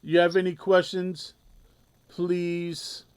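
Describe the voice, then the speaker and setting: A man's voice: high-pitched, completely flat delivery, slow pace, clear, resonant tone. A man hosting a radio interview, giving short affirmations and passing on questions from the audience. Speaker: radio show host.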